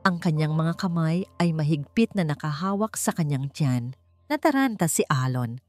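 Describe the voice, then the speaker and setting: A woman reads scripted, dramatic romance narration in a measured manner. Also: low-pitched, animated, a little mellow. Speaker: story narrator. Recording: music behind the voice. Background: background music.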